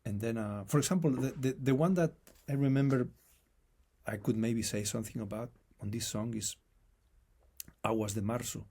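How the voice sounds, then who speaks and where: A man with a non-native accent, speaking as an interview guest in a reflective, halting way and often restarting his phrases. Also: mid-range voice, mellow, slightly rough. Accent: non-native English accent. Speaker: guest musician. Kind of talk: casual conversation.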